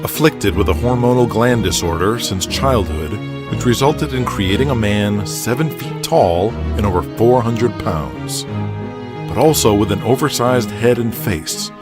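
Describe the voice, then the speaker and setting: A man's voice: on the low side, animated, unhurried, over loud music. A man reading scripted, dramatic narration for an audio drama.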